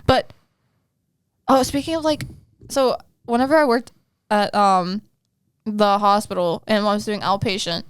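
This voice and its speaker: A woman co-hosting a podcast in casual chat, playful and quick to laugh, teasing her co-host. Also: medium pitch, clean tone, no echo, clean studio sound.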